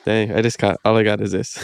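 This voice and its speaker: Laid-back man from Hawaii, smooth voice. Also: lowish voice, lively intonation, moderate pace, dry recording, studio quality. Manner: loose and rambling, full of "like" and "you know". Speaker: podcast host. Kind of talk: casual chat.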